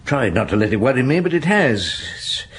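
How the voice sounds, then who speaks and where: An actor playing a middle-aged police chief inspector in a radio drama, dogged but kindly, musing with gruff authority. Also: male voice, mid-range voice, big pitch swings, fairly quick, a bit bright, full character voice. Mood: probing, uneasy. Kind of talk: naturalistic radio drama acting.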